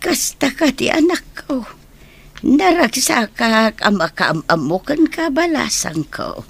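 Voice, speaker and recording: An elderly mother and grandmother in a radio drama. She speaks very slowly, with a gravelly creak in a fairly high voice. Her manner is gentle and counselling. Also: very animated, exaggerated voice. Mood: welcoming.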